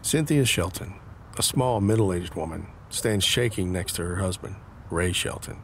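A man's voice: low voice, moderate pace, a little hiss or hum. A man narrating an audio drama from a script, describing scenes. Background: instrumental music.

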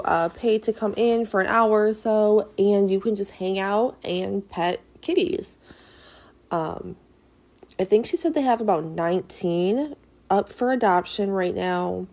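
A woman in her thirties with a stuffy nose, casually narrating a tour of a small cat cafe shop with lots of fillers. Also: mid-range voice. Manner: chatty, filler-heavy, upbeat and easygoing. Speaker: small business vlogger. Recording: voice over loud background music.

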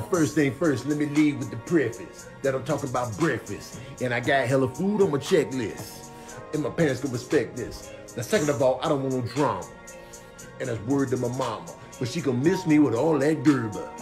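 A man with an American accent voicing a cocky toddler who raps boastful rhymes in a low-key spoken flow. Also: lightly acted. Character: cocky little toddler rapping tough about breakfast. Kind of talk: rhyming spoken rap.

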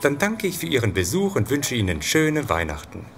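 An actor playing a defensive, irritable shopkeeper, snappy and indignant, in a horror radio play. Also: male voice, mid-range voice, wildly swooping pitch, normal speed, soft background music. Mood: defensive, annoyed. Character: defensive, irritable male shopkeeper suspected of crimes. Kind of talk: German horror audio drama acting.

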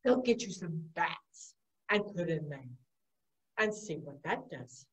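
A woman voicing a wise old male owl in a storybook tale, speaking low and slowly in a musing way. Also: expressive, low-quality recording.

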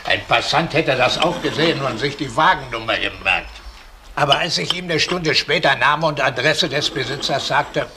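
A man's voice: mid-range voice, normal speed, sharp tone, slight background noise. An actor playing a shrewd, precise older retired policeman in a German crime radio play. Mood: precise, recounting.

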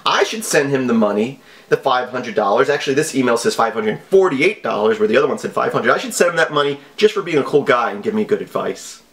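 A man's voice: medium pitch, expressive, fast, fairly bright tone. A man in his thirties giving casual, offhand vlog commentary with a wry manner. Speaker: video host. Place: small home office.

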